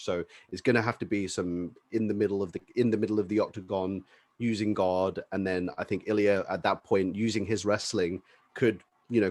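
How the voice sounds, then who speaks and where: A man's voice: low-pitched, no room sound. A man co-hosting a podcast, giving casual fight analysis as if thinking aloud. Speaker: podcast co-host.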